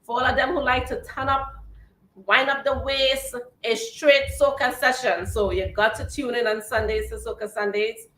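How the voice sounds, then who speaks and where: A woman's voice: a little high, steady tone, normal speed, pushed and bright, echoey room, low-quality recording. A woman hosting a radio talk show, chatting casually and hyping listeners with promotions. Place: radio studio.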